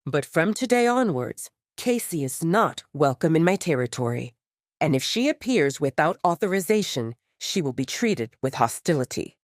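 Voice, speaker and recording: A woman narrator acts out a male pack leader with a hard temper. The voice drops low and speaks with stern, unbending authority, dry and close, in audio drama style. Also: sing-song, normal speed, no room sound. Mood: firm warning.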